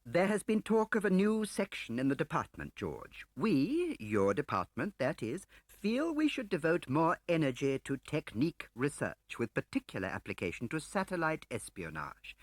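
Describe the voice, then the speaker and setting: A man's voice: on the high side, wildly swooping pitch, normal speed, hardly any pauses, dry recording, pitched above the performer's own voice. A man voicing a pompous, ingratiating civil service chief in an audiobook, with a hesitant, upper-class English delivery. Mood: falsely genial. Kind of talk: audiobook character acting.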